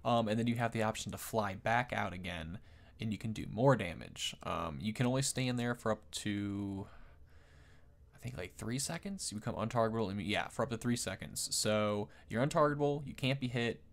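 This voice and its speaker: A man's voice: on the low side, a little fast, vocal fry. A man hosting a gaming video, explaining things in a casual, unscripted, rambling conversational way. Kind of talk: casual unscripted game commentary.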